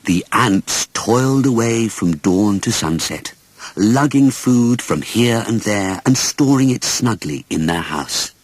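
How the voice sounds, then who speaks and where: A man narrating a children's story as an expressive audiobook reader. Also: fairly low pitch, slow, no room sound.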